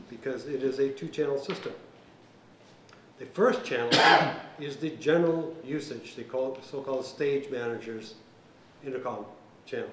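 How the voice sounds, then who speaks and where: A male instructor walks through technical equipment in an informal, methodical way. He speaks in a mid-range voice and often pauses mid-sentence. The room is echoey, with faint noise behind him. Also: medium pitch. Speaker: instructor giving an equipment tutorial. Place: indoor set room by a theater stage. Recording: roomy echo with faint background noise.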